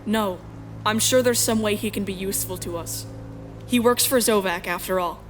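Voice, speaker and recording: A woman's voice: mid-range voice, normal speed, faint music behind, close to an everyday voice. A woman playing an earnest exiled princess, giving first-person narration and dialogue in a game fan dub. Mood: earnest, insistent. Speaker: narrator and lead character.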